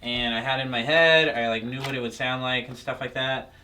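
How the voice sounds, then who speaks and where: A young man, a bassist and co-producer, talking easily with lots of fillers in a small recording studio. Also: fairly even intonation, a little fast, sharp tone, a little hiss or hum. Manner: easygoing, lots of "like" and "you know". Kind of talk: casual studio chat.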